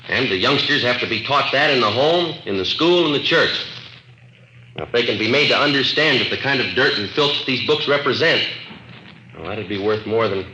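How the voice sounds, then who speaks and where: A man acting a calm, no-nonsense police detective with an American accent, matter-of-fact and deliberate, in 1950s radio drama style. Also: mid-range voice, noisy background, natural voice. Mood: firm, matter-of-fact. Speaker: police sergeant and narrator. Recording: dull old radio recording, roomy, music behind.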